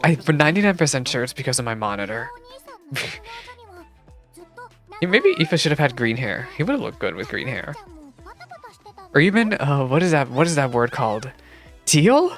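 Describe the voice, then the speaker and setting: A woman livestreaming a game playthrough, chatting casually with viewers in a gushing, expressive way. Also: extremely low pitch, moderate pace, long pauses. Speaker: streamer reacting to a game playthrough. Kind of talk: casual livestream chat and commentary. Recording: game music behind the voice. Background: game music.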